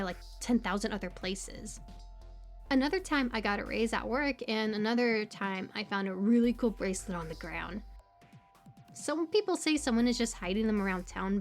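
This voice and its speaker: A woman playing a bubbly, quirky young small-town local in an audio roleplay, rambling casually with playful, high energy and lots of filler words. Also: lightly acted.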